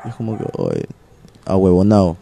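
Peruvian-accented wrestler, mellow-toned, loose slangy chat, filler-heavy. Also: male voice, deep voice, normal speed, creaky. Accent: Peruvian Spanish. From Peru. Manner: animated, slangy, many fillers. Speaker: pro wrestler guest. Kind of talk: casual interview chat.

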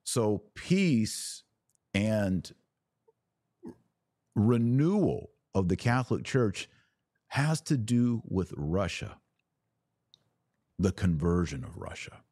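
A man with a deep voice speaks in a dry, close recording. He delivers an unscripted monologue as a religious commentator, emphatic and given to repeating phrases for weight. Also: very animated, slow, pauses often, warm tone, no room sound. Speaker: podcast host and religious commentator.

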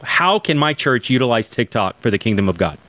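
A man's voice: mid-range voice, sing-song, brisk, a bit bright. A man in his forties, talking in an emphatic, preacherly way and turning to address listeners directly in a podcast conversation. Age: 40s. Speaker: church planting guest.

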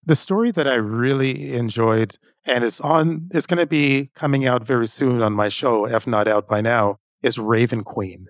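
A man hosting a conversational podcast interview, speaking in a measured, reflective way. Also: medium pitch, no room sound, clean studio sound. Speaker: podcast host.

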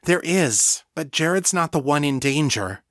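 A man's voice: a little high, animated, measured pace, fairly bright tone, no echo, voice pushed up. An anxious woman from an audiobook, acted by a male narrator, pleading with her friend in a raised, swooping voice. She is earnest and urgent, hesitating as she warns, and the sound is close and dry.

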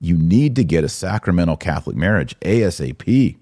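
A man's voice: low voice, expressive, brisk, warm tone. An American man hosting a live-stream, talking conversationally and with opinion as he answers comments and poses rhetorical questions. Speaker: podcast host and religious commentator.

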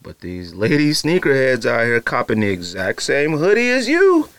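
A man in his thirties hosts a podcast on his own from his home studio. He talks straight to his listeners, teasing them and building hype, and fills his speech with "you know" and "man". His voice sits at a middle pitch and the sound is close and dry. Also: wildly swooping pitch, normal speed, fairly bright tone, smooth, no room sound. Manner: hyped, teasing direct address with lots of fillers. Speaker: podcast host. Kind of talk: solo casual podcast talk.

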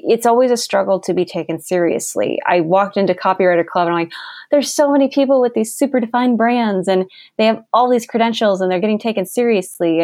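A woman's voice: lowish voice, darkish tone, no echo, studio quality. Bubbly young woman, laughing often. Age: young adult. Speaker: guest copywriter. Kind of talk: conversational podcast interview.

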